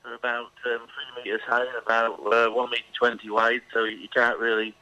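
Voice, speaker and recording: A man's voice: mid-range voice, completely flat delivery, normal speed, pushed and bright, muffled recording. A middle-aged man being interviewed on the radio, chatty and hesitant, with many ums. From England. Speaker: interview guest.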